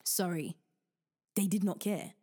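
A young woman hosting a podcast, giving casual relationship advice in an animated, joking and preachy way. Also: fairly low pitch, measured pace, husky. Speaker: podcast host.

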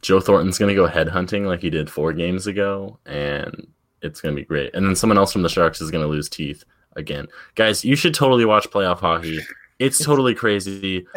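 A man co-hosting a casual podcast, deep-voiced and self-deprecating. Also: fairly bright tone. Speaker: podcast co-host.

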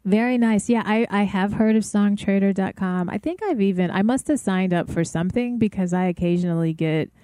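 A woman's voice: medium pitch, a little fast, hardly any pauses, dark tone, dry recording. A woman co-hosting a music business podcast, enthusiastic and effusive as she introduces a guest. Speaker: podcast co-host. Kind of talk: casual chat.

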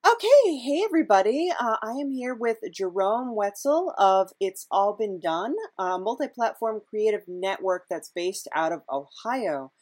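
A woman's voice: medium pitch, lively intonation, a little mellow, no echo. A woman hosting a casual podcast interview, feeling her way through questions with many false starts. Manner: halting, hesitant phrasing with repeated false starts.

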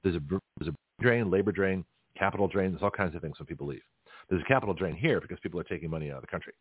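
A deep, husky-voiced middle-aged man talks fast and loose as a radio host, doubling back on himself and chuckling between points. His voice is dry and close, squeezed through a thin phone-like line. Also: no room sound. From Canada. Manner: rambling, with frequent restarts and chuckles. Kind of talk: casual political talk radio monologue. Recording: tinny phone-line audio.

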